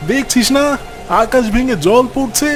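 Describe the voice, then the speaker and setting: Man as brotherly fisherman, extremely high pitch, imploring calls, cautioning, cartoon acting, faint music. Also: steady tone, normal speed. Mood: worried warning. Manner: calling out, imploring. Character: concerned fellow fisherman, brotherly, urgently cautioning. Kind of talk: cartoon dialogue.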